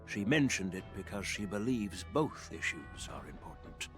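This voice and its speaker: Grave, weathered old general. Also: male voice, lowish voice, expressive, moderate pace, a bit bright, faint music behind. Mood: grave, earnest. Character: stern, weathered old male general, loyal elder. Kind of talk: dramatic game voice acting.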